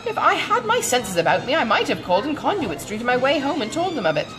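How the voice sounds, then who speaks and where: Woman voicing a gushing, excitable older gossiping matron. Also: high-pitched, fast talker, music in the background. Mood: flustered, concerned. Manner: gushing, exclamatory, rambling.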